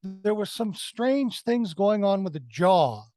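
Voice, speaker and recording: A man's voice: high voice, big pitch swings, takes it slowly, a little mellow, faint music behind. A man giving a conversational film critique as a review panelist, emphatic and repeating phrases for effect.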